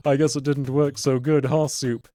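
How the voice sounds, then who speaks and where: A man's voice: medium pitch, flat delivery, moderate pace, mellow, soft background music, lightly acted. A man voicing an eager, bossy cartoon sidekick with an emphatic, insistent delivery in a story reading. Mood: pleading.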